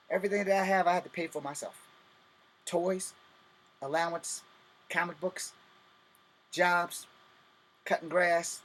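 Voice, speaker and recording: A man's voice: high voice, rough recording. A man in his fifties hosting a personal vlog, talking bluntly and bitterly with profanity and emphatic repetition. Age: 50s. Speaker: host.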